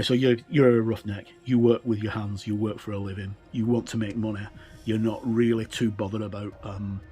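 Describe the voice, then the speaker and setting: A middle-aged man giving an informal explanatory walkthrough as a gaming reviewer, halting as he thinks aloud. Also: lowish voice, a little mellow.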